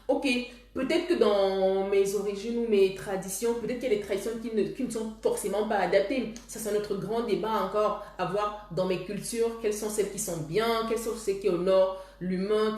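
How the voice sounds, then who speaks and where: A woman's voice: medium pitch, darkish tone. Woman host, earnest, self-correcting, echoey room. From Cameroon. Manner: earnest, repeats herself and restarts phrases. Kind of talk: casual advice talk to viewers.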